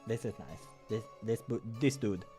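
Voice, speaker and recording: A man's voice: lowish voice, normal speed, dark tone. Man narrating a visual novel over music. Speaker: game playthrough host and narrator.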